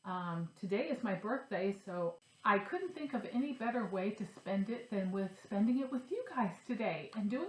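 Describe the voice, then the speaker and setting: A woman with a stuffy, nasal voice from a cold, chatting casually to her viewers about her craft projects. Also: medium pitch. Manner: chatty, upbeat, shows her stitching. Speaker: cross-stitch vlogger. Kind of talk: casual chat to camera. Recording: echoey room.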